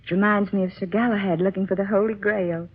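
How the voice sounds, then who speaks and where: An actress playing a devoted, adventurous wife in a 1940s radio drama, with a tender, earnest, theatrical delivery. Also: female voice, mid-range voice, steady tone, fast, slightly rough, lightly acted. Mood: eager, devoted. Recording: muffled vintage radio recording.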